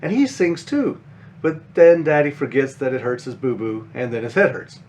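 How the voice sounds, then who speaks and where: A man's voice: mid-range voice, moderate pace, a little mellow, lightly acted. A man voicing a caring five-year-old big sister bunny from a children's picture book, explaining things simply and earnestly.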